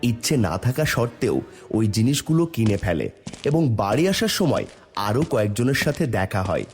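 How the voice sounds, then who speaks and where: A man tells a scripted fable as narrator. His voice sits at a medium pitch, with music playing under the narration. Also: very animated, normal speed, darkish tone.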